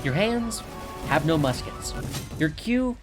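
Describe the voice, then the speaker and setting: A man putting on a lively, sing-song voiceover persona to explain a game class as a tutorial narrator. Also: a little high, takes it slowly, pitched above the performer's own voice. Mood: instructive, teasing. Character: hyped-up male game-guide narrator persona. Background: clear background music.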